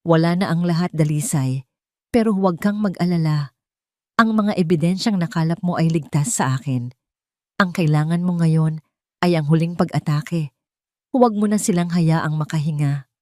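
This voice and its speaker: A woman's voice: sits low, moderate pace, mellow, dry recording, clean studio sound, close to an everyday voice. A woman voicing a cautious, protective older godfather in an audio drama, with careful, grave delivery. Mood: grave, reassuring.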